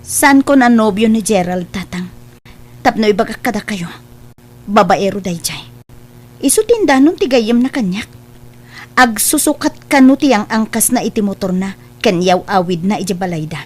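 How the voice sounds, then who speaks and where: A woman's voice: medium pitch, lively intonation, moderate pace, close to an everyday voice. A woman playing a suspicious, hurt young woman who confronts her suitor pointedly in a radio drama. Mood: indignant. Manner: accusing, pointed.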